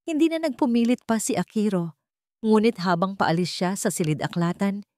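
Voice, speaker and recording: A woman's voice: on the low side, darkish tone, dry recording, studio quality. A woman with a Filipino accent reading a scripted dramatic story as a storyteller.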